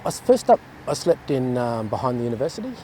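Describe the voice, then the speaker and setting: A man's voice: medium pitch, big pitch swings, quick pace, warm tone, a little hiss or hum. A man with an Australian accent, speaking reflectively in a documentary interview.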